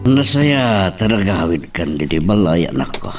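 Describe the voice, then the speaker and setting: A man with an Ilocano Filipino accent playing a supportive, concerned father, gently urging, in an audio drama. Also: low-pitched, sing-song, normal speed, faint music behind. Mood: concerned, persuasive. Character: concerned, supportive father advising his grown daughter. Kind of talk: Ilocano radio-style drama acting. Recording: distant from the microphone.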